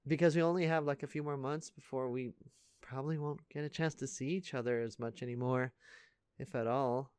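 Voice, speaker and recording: Man as halting, caring teenage best friend, close-up. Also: mid-range voice, clean tone, dry recording, natural voice. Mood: concerned, puzzled. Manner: casual, halting, stammering, conversational. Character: earnest, caring teenage male best friend, high school senior. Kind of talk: intimate audio roleplay addressed to the listener.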